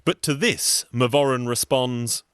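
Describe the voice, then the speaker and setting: A man telling a folktale in a wry, conversational way with deadpan asides. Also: mid-range voice, a little slow, clear, resonant tone, no echo, studio quality. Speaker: storyteller and folk singer. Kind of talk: wry conversational storytelling with song.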